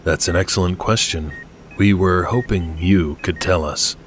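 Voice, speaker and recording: A male narrator plays a middle-aged doctor, calm and reassuring at the bedside. The voice is deep, with faint noise behind it, as in an audiobook. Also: a little slow.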